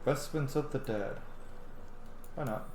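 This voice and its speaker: A man in his thirties giving relaxed, casual commentary while playing a game at home. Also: medium pitch, takes it slowly, a little hiss or hum. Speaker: game streamer. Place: small room at home, indoors. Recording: echoey room, game music behind.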